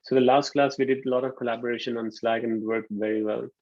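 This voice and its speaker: Gravelly man lecturing over a video call. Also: medium pitch, quick pace, no echo. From Pakistan. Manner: relaxed, hesitant with frequent ums. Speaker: university lecturer. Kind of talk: online lecture. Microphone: computer mic on a video call. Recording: dull lo-fi video call audio.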